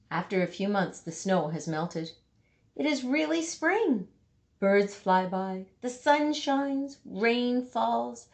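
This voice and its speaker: A woman reading a children's picture book aloud, with dramatic emphasis and deliberate pauses for young listeners. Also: medium pitch. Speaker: storybook reader.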